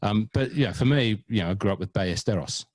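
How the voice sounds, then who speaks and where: A man co-hosting a golf podcast, chatting casually in a lowish voice. He is playful and mock-earnest and hams up foreign pronunciations. The sound is dry and close. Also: expressive, fast talker, darkish tone, no room sound. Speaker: podcast co-host. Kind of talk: casual chat.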